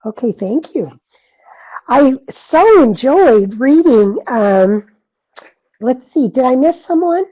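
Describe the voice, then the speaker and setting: A woman moderates a panel over a video call, her voice fairly high but husky. She speaks slowly, gently and tentatively, with a mellow tone. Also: animated, rough recording.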